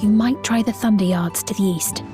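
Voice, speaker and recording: A woman playing a gracious, courtly queen in a video game, speaking formally and with measured composure. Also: medium pitch, over music, lightly acted. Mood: composed, regal. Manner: formal, measured, regal diction. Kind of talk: scripted video game cutscene acting.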